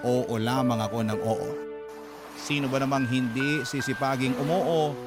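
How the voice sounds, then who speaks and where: A man's voice: mid-range voice, fairly even intonation, normal speed, close to an everyday voice. A man playing a devoted son who narrates his life story earnestly and sentimentally in a radio drama. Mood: earnest, heartfelt. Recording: loud music bed under narration.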